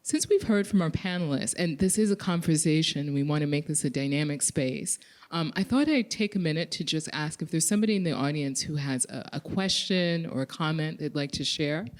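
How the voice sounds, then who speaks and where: Lower-voiced woman moderating a panel. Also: a little fast, few pauses. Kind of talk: conversational moderating.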